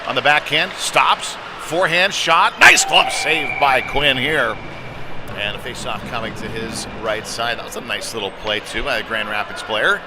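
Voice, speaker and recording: A man calls a hockey game live as the play unfolds. He speaks in short, clipped fragments at a medium pitch. Clear venue noise runs behind his voice. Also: moderate pace. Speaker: play-by-play commentator. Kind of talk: live youth hockey commentary. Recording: noisy rink, clear background noise.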